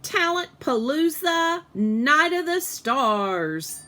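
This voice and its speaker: A woman's voice: high voice, sing-song, extremely slow, pushed and bright, voice pushed up, exaggerated voice. A woman voicing a patient male elementary school teacher in a storybook, addressing the class with emphasis. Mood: announcing.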